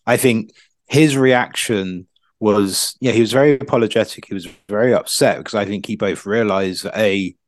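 A man giving casual football analysis as a pundit, haltingly, repeating words as he thinks. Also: lowish voice, no echo.

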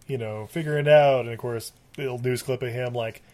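A man's voice: mid-range voice, brisk. A man casually retelling a movie's plot as a podcast co-host, acting out the characters and laughing often. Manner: animated, acts out scenes in voices, laughs often. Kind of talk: casual movie recap chat.

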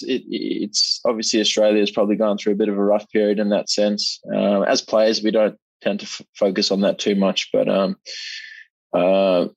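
A man's voice: fairly low pitch, dry recording, rough recording. A young man, a rugby player, answering interview questions modestly and repeating words as he thinks, heard over a remote line. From Zimbabwe.

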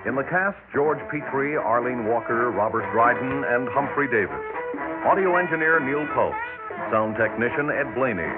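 A man with an American accent reading scripted radio drama narration in a measured, formal way. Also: medium pitch, expressive. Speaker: narrator and announcer. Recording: narrow, phone-like old radio recording. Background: loud music.

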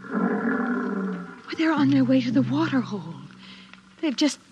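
A woman's voice: a little high, big pitch swings, takes it slowly, highs cut off, heavily acted. An actress playing an anxious, insistent wife and mother in an old-time radio drama. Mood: tense. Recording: faintly noisy old radio recording.

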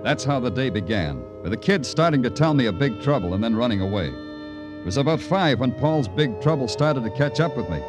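An American actor playing a world-weary, tender-hearted city detective, narrating in a poetic, hard-boiled old radio crime drama style. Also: male voice, medium pitch, animated, fairly quick, lightly acted. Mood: wry. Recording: old radio recording with music.

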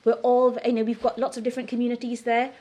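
A woman's voice: fairly high pitch, level tone, fast talker, warm tone. A middle-aged woman from Singapore answering interview questions in a candid, emphatic, conversational way. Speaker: interview guest.

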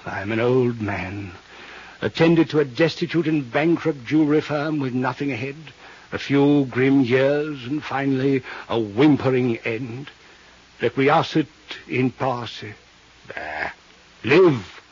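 Old radio villain: aged, genteel, greedy, verbose, theatrical; slightly rough tone, muffled fifties broadcast. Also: male voice, mid-range voice, slow pace. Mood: grandiose. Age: older. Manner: florid, theatrical, courtly phrasing with Latin flourishes. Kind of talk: 1950s radio drama acting. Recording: muffled 1950s radio recording.

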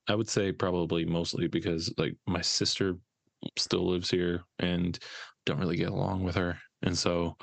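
Deep-voiced male co-host with a gravelly edge, dry close sound, hesitant and rambling. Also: no echo. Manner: hesitant, rambling, many fillers. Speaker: podcast co-host. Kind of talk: casual podcast chat.

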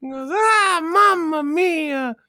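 A woman voicing an odd, dim little Boston boy with childish, simple, deadpan statements in improvised comedy. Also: very high-pitched, takes it slowly, dry recording, studio quality, exaggerated voice. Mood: earnest. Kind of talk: improvised comedy character interview.

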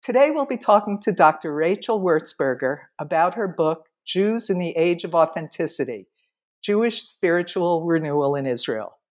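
A woman hosts an interview show, opening with a prepared welcome and introduction. Her voice is notably low for a woman. She speaks in a clear, measured way. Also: lively intonation. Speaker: podcast host.

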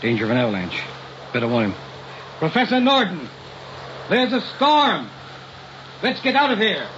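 Determined professor, muffled old radio. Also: male voice, sits high, huge pitch swings, moderate pace, a bit bright, slight background noise, exaggerated voice. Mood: urgent, calling out. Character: determined, curious male scientist professor, middle-aged expedition leader. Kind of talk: old-time radio drama acting. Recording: muffled vintage radio recording.

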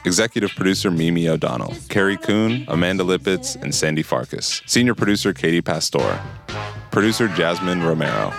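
An announcer reading scripted podcast credits in a measured, listing manner. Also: low-pitched, lively intonation, vocal fry, music in the background.

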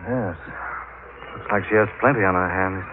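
An actor playing a suave, courteous gentleman detective with an inquisitive streak in a 1940s radio mystery. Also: sits low, normal speed, natural voice. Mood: courteous, probing. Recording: noisy, tinny vintage radio broadcast.

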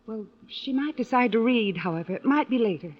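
A young heroine of an old radio thriller, with a high voice. She is frightened but determined, speaking in earnest mid-century radio style. The recording is muffled and old. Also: lively intonation, fairly quick. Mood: guarded. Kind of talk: 1940s-50s radio drama acting.

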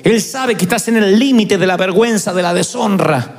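A man in his fifties preaching a fervent sermon as pastor, building up to shouts. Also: high-pitched, normal speed, vocal fry. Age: 50s. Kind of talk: impassioned sermon.

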